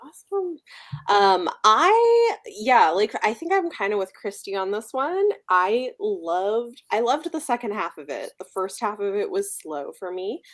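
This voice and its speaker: A woman's voice: medium pitch, big pitch swings, a little slow. A woman speaking casually as a panelist in a group book discussion.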